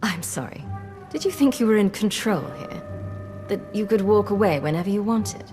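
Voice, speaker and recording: An actress playing a commanding, ruthless intelligence agent, controlled and insistent, in a science-fiction video game. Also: female voice, mid-range voice, expressive, moderate pace, big-room sound, music in the background, close to an everyday voice. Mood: cold, controlling. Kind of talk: scripted video game acting.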